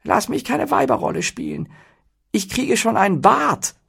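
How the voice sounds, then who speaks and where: A man voicing a dim-witted, easily horrified craftsman in a whiny, slow-witted manner for a storybook. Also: high-pitched, lively intonation, gravelly, breathy edge, pitched above the performer's own voice, full character voice. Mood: horrified, pleading.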